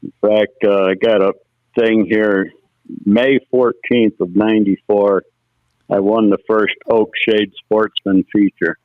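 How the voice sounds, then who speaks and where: A man's voice: fairly low pitch, fairly even intonation, slow, dry recording. A middle-aged man, a former racer, casually telling folksy stories as an interview guest over the telephone. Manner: anecdotal, folksy storytelling. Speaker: former race car driver, interview guest. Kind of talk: casual reminiscing on a phone interview. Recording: muffled, narrow telephone line.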